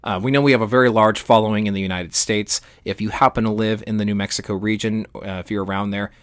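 A man's voice: lowish voice, steady tone, quick pace, smooth, no room sound, clean studio sound. A man co-hosting a podcast, explaining history in an enthusiastic, conversational and emphatic way. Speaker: podcast co-host.

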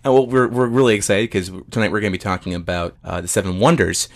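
Guy with a lowish voice hosting a comedy podcast, chatting casually. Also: fast. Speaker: podcast host.

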